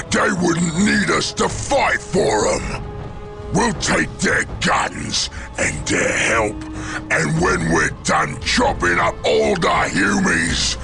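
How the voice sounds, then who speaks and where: A belligerent ork warlord from a video game, roaring in a rough, gravel-filled voice. The speech is crude and blustering, heaved out slowly with huge swings of pitch. Music plays behind. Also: male voice, full character voice. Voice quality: gravelly, shouted. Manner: crude grammar, bellowing, blustering. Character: brutish, belligerent ork warboss spoiling for a fight. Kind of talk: video game cutscene acting.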